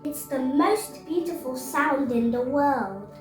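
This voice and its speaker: Woman reading as a brave, high-voiced storybook girl. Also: lively intonation, slow. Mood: delighted. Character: brave, polite, dutiful young storybook girl. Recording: echoey room, distant mic, music behind.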